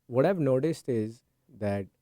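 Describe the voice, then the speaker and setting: A man's voice: mid-range voice, animated, unhurried, dark tone, no echo. A middle-aged man hosting a podcast interview, asking long, thoughtful, winding questions. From India. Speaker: podcast host. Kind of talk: conversational interview.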